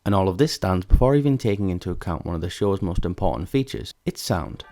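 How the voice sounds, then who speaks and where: A man's voice: sits low, animated, quick pace, mellow. A man reading scripted narration as the narrator of a video essay.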